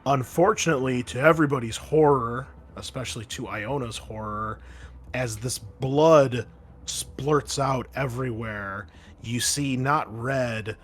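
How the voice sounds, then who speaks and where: A man's voice: mid-range voice, a little slow, soft background music. A man working as game master, narrating a tabletop game and explaining its rules, with casual hesitant asides.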